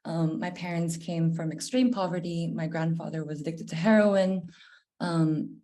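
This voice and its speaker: A woman's voice: lowish voice, fairly even intonation, moderate pace, mellow. A woman in her twenties from Malaysia giving an informal, reflective presentation over a video call. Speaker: guest presenter, researcher. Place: living room at home, indoors. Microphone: video call, laptop.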